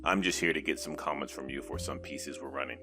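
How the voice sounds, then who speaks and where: An actor playing a mild, polite, self-deprecating newspaper reporter with sly humour in an audio drama. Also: male voice, a bass voice, fairly even intonation, quick pace, over music. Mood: amiable, teasing.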